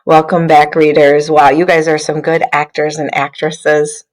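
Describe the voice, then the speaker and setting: A woman's voice: deep voice, little pitch movement, a little slow, a little mellow. A woman literacy coach leading an interactive read-aloud for young children, instructive, prompting listeners with questions.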